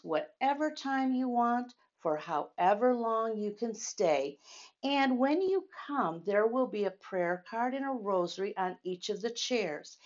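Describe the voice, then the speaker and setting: A woman hosts a children's storytime at an unhurried, very slow pace. She speaks straight to her young listeners, asking them questions and leaning on key phrases. Her pitch is average for a woman, and the recording sounds a little dull and lo-fi. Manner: addresses children directly, with questions and emphatic phrasing. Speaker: children's storytime host at a church.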